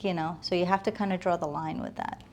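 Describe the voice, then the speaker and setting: A woman's voice: fairly low pitch, fairly even intonation, quick pace, a little mellow. A woman speaks as an interview guest. She is earnest and reflective, talking conversationally and stacking short phrases to make her point. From United States, moved from Afghanistan as a toddler.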